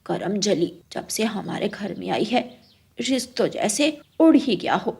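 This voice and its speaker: A woman's voice: mid-range voice, expressive, a little slow, creaky, a little mellow, slightly rough, heavily acted. A woman voicing a cruel, greedy old mother-in-law bird in a cartoon, scolding and commanding in a harsh manner. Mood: scornful. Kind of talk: Hindi cartoon story acting.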